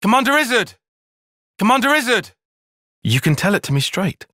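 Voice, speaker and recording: Expressive, precise young strategist soldier. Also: male voice, high voice, normal speed, dry recording, studio quality, full character voice. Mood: alarmed, urgent. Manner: precise, formal, analytical wording. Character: young male strategist soldier, cerebral, earnest, quick to exasperation. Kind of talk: video game cutscene voice acting.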